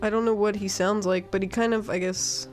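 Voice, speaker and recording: A woman's voice: medium pitch, steady tone, a little fast, a little mellow. Woman co-host, chatty, teasing, pop-culture talk, faint music in the background. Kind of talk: casual chat.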